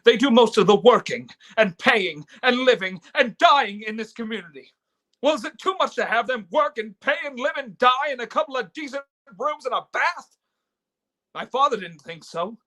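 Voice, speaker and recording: A man's voice: very high voice, a bit bright, exaggerated voice. A man playing an earnest, indignant young idealist who stammers, in a live radio play reading. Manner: stammering, impassioned. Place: remote online reading from home.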